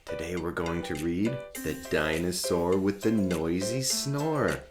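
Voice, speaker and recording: A man reading a children's storybook aloud as host, with expressive, dramatic delivery and drawn-out key words. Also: deep voice, loud music behind the voice.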